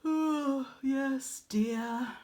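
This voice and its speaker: A woman's voice: high voice, extremely slow, dark tone, voice pushed up. A woman voicing a bored, placating mother in a storybook, unimpressed by her daughter. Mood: weary, placating. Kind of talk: storybook character voice.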